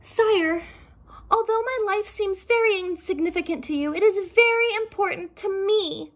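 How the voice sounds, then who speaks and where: A woman voicing a small, quick-witted female rabbit in a storybook, earnest, polite and pleading. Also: extremely high pitch, voice pushed up. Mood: nervous, pleading.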